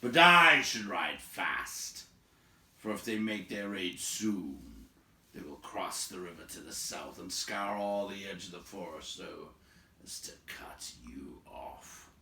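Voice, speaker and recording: A gruff bear-man out of a fantasy storybook, acted out by a male reader, handing down stern warnings. He draws out his words and commands with heavy emphasis. The voice is fairly low and rings in a roomy space. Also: sing-song, sharp tone, slight background noise, heavily acted. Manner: slow, emphatic, commanding delivery with drawn-out words. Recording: roomy, echoing home recording.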